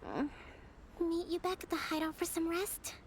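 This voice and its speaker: An actress playing a gentle, dignified young priestess and military leader in a video game, speaking in formal, measured phrases. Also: female voice, very high-pitched, fairly even intonation, background noise. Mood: soft, tired. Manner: formal, measured, composed diction. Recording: game music behind the voice.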